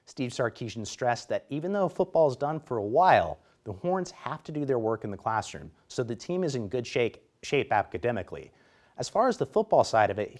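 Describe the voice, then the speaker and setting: An American man delivers a scripted sportscast as a reporter. His voice sits at a medium pitch, and he calls highlights with energy, in a play-by-play manner. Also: darkish tone.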